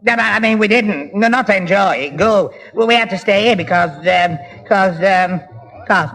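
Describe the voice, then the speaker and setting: A man's voice: high-pitched, moderate pace, a bit bright. A man playing a dim, flustered young boyfriend in a 1960s radio sitcom, stammering and muddling his words. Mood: flustered, muddled. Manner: stammering, muddled, repeats himself. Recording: old radio recording with long reverb.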